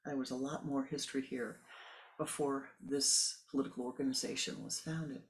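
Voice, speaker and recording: A man's voice: mid-range voice, fairly even intonation, normal speed, vocal fry, rough recording. A man giving a spiritual talk, musing aloud and repeating words for emphasis. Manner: emphatic repetition, musing asides. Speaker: spiritual teacher. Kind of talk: sermon.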